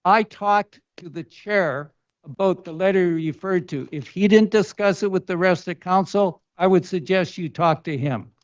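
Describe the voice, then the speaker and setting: A man's voice: high-pitched, normal speed, no echo. A man chairing a city council meeting as mayor, speaking firmly and telling a speaker to respect procedure. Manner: firm, admonishing. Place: council chamber. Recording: dull, muffled meeting audio.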